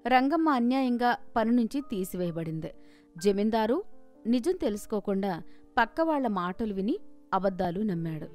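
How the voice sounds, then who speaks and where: Woman narrating, fairly high voice. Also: sing-song, normal speed, darkish tone, faint music behind. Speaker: storyteller, narrator. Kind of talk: scripted moral story narration.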